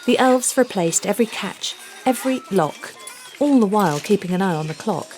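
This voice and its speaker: A woman narrating a children's storybook aloud, with deliberate, rhythmic delivery of rhymed phrases. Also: medium pitch, animated, darkish tone, faint music behind. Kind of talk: scripted storybook read-aloud.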